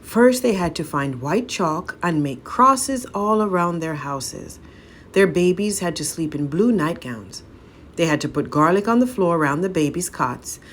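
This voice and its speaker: Woman reading a folk tale aloud, low voice, slow n dramatic. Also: animated. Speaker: storyteller.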